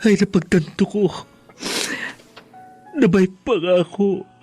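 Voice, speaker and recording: A man's voice: high voice, takes it slowly, a little mellow, slightly rough, faint music behind, heavily acted. A man playing a remorseful, grateful grown son with a Filipino accent in an emotional radio drama. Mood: grateful, earnest.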